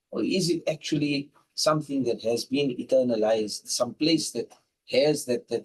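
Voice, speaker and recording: A man's voice: medium pitch, husky. A man hosting a religious discussion, speaking in rhetorical, parallel phrases as he gives devotional remarks. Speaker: host. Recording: distant mic with some background noise.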